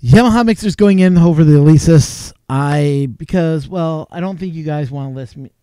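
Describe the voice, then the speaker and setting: A man's voice: a little high, normal speed, dark tone, clear, resonant tone, no room sound. A man from Canada, hosting a late-night live stream from his home desk, chatting casually and rambling with chuckles. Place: home desk crowded with gear. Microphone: desk mic with a mixer.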